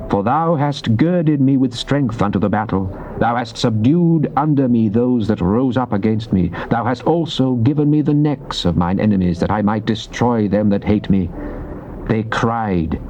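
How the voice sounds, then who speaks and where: An actor playing a devout psalmist king, reciting scripture in a solemn, declamatory, deliberate way. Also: lowish voice, mellow, close to an everyday voice. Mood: triumphant, stern. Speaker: actor reading Psalms in a dramatized Bible recording. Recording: muffled old cassette tape with faint noise.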